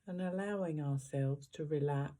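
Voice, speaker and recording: A meditation guide giving gentle, unhurried guided instructions with long pauses between phrases. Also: mid-range voice.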